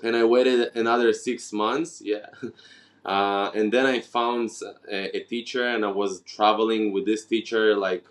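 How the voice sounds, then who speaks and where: A man with a lowish voice, speaking slowly and haltingly, with many pauses and restarts. He is a guest in a relaxed interview and talks about his own life. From Ukraine, raised in Israel. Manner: hesitant, many fillers and restarts. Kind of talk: casual interview conversation.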